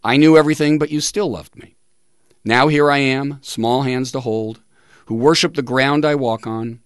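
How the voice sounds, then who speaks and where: A middle-aged man hosting talk radio in a measured, deliberate way, sometimes reading tributes aloud. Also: medium pitch, smooth, dry recording, studio quality. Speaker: radio show host.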